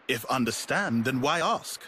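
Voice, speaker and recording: A man's voice: mid-range voice, expressive, a little slow, bright, forceful tone, slight background noise. A man voicing a small, blunt, secretive male creature in a video game, speaking in clipped broken grammar and naming himself in the third person. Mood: matter-of-fact. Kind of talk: video game cutscene voice acting.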